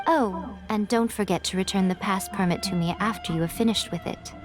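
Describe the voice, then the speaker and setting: A woman's voice: medium pitch, fairly quick, close to an everyday voice. A woman playing a sly, poised, teasing shrine priestess in a video game, with coy and eloquent phrasing. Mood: playful. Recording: music playing behind.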